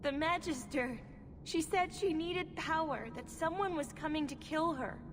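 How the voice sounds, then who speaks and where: A woman's voice: sits high, a little slow. A woman playing a timid, naive young elven slave girl in a video game, meek, anxious and innocent. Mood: frightened, bewildered. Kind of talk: dramatic video game acting. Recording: reverberant hall, noisy game ambience.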